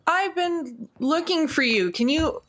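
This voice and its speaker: A woman's voice: high voice, lively intonation, measured pace, a bit bright, pitched above the performer's own voice. A woman voicing a nervous, earnest, awkward young woman in a tabletop game, stammering and repeating herself.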